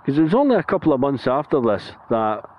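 A man's voice: medium pitch, big pitch swings, fast. A Scottish man narrating casually from a motorbike as a chatty tour guide, often checking in with "yeah?". He rides outdoors, speaking through a helmet mic. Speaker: motovlogger. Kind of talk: casual ride-along narration. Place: outdoors on a moving motorbike, then stopped by a roadside. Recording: muffled, with loud wind and engine noise.

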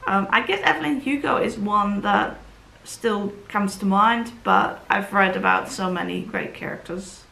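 A woman in her thirties chatting casually to camera as a book vlogger, somewhat halting, with sentences that trail off. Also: medium pitch, creaky, pushed and bright. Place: plant-filled glassed patio or garden room. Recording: roomy, echoey sound.

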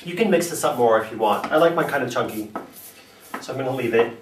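A man hosting a home cooking video, talking viewers through a recipe in a relaxed, chatty way with fillers and restarts. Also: mid-range voice, fast talker. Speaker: home cooking show host. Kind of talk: casual step-by-step cooking demonstration. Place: home kitchen. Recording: echoey room, distant mic, faint noise.

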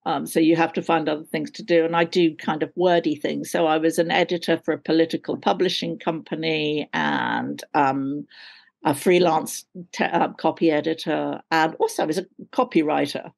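A woman's voice: low voice, fairly even intonation, normal speed, gravelly. An older woman chatting casually as an interview guest on a video call, with a wry, self-deprecating manner. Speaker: guest, actor.

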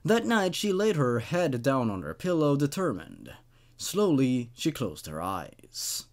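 A man in his twenties reading a horror story aloud, dramatically and with deliberate pauses for suspense. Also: medium pitch, wildly swooping pitch. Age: 20s. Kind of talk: dramatic horror story narration with brief casual comments.

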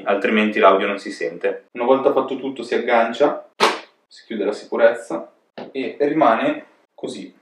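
A man's voice: fairly low pitch, fairly bright tone. A man giving a casual, chatty hands-on tutorial as a vlog host at home. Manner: colloquial, chatty, explanatory. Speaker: motovlogger and channel host. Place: indoors, living room at home. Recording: echoey home room.

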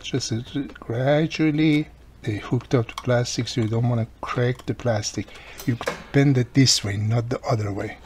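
A man's voice: mid-range voice, slight background noise. Patient man explaining a repair. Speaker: radio repair technician. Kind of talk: hands-on repair tutorial. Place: workbench, indoors.

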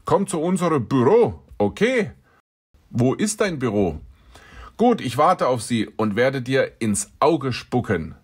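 A middle-aged man with a middle-pitched voice narrates his encounters with scammers in a casual, dry way. He reads out messages and comments on them with a wry edge, as a car dealer talking to his viewers. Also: very animated, unhurried, breathy edge. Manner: dry, wry storytelling about scam messages. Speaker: car dealer and channel host.

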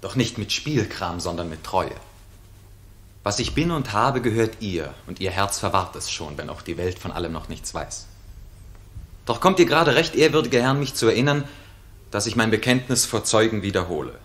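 An actor playing an ardent, devoted young prince in love, with lofty stage diction, in a 1960s German radio play. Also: male voice, fairly low pitch, sing-song, normal speed, fairly bright tone. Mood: earnest, devoted.

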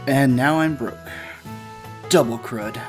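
A man's voice: medium pitch, slow, over music, close to an everyday voice. A man voicing a self-pitying, sardonic young male pony in a cartoon comic dub. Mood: wry, resigned. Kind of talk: comic dub, dramatic monologue and dialogue.

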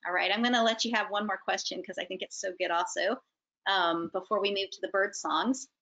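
A woman's voice: medium pitch, steady tone, fairly quick, sharp tone. A middle-aged woman hosting casually and relaying audience questions over a video call. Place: indoor room on a video call. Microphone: computer video call. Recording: dull, lo-fi video call audio.

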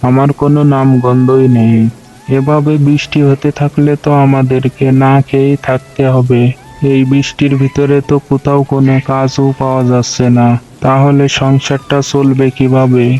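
A man's voice: medium pitch, completely flat delivery, normal speed, mellow, muffled recording, lightly acted. A man playing a poor, worried village labourer in a cartoon folk tale, earnest and plaintive. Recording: music under the voice.

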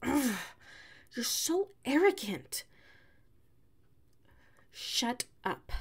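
A woman voicing a prickly, haughty young tsundere classmate with a hidden soft side, in an ASMR audio roleplay. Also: on the high side, sing-song, slightly rough, full character voice. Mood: indignant, huffy. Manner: haughty, huffy, sighing, drawn-out phrasing.

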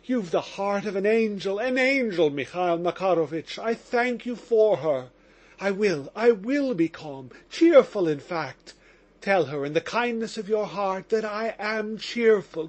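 A man's voice: high voice, pitched above the performer's own voice, exaggerated voice. A man voicing a desperate, impassioned young prisoner. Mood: fervent. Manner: impassioned, pleading, exclamatory. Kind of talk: audiobook character reading.

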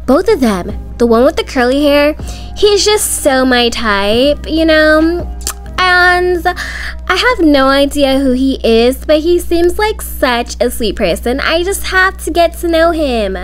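A woman's voice: sits high, expressive, slow. A young woman doing amateur roleplay voice acting of school drama, with slangy, dramatic teen-girl dialogue. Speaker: video creator voicing all characters of a school roleplay story. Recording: music behind the voice. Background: background music.

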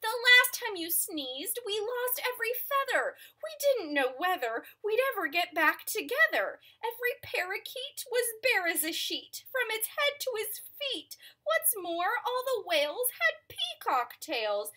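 A woman voicing a flock of panicked birds in a storybook read-aloud, squealing their pleas in a shrill high voice. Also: pushed and bright, heavily acted.

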